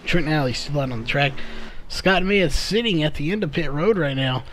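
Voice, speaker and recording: Brief: male race commentator, voice fraying from a long day, reads out lap times steadily, slightly higher pitch, noisy track audio underneath. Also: animated, fairly quick, a bit bright. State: losing his voice. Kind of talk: play-by-play commentary.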